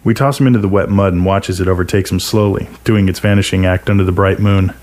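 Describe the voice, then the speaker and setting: A man's voice: low voice, steady tone, fast, a little mellow. A man reading a scripted first-person short story as its narrator.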